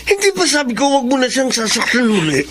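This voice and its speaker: A man's voice: very high-pitched, very animated, fast, a bit bright, slight background noise, heavily acted. An actor playing a troubled, protective father in a Tagalog family melodrama. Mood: reproachful. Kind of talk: Tagalog melodrama radio acting.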